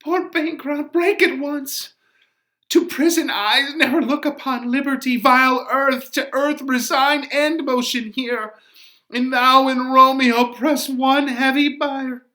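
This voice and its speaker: A man's voice: very high-pitched, steady tone, slow, pushed and bright, exaggerated voice. A man voicing an anguished, passionate young Shakespearean lady, declaiming verse theatrically with fierce emotion. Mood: despairing.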